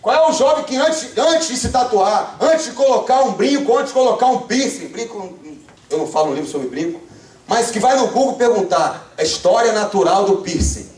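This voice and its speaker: A young Brazilian man with a Rio de Janeiro accent, preaching a fervent revival sermon with call-and-response and bursts of shouting. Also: high voice, animated, normal speed. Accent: Rio de Janeiro Brazilian Portuguese. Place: church sanctuary. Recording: echoey church from far off.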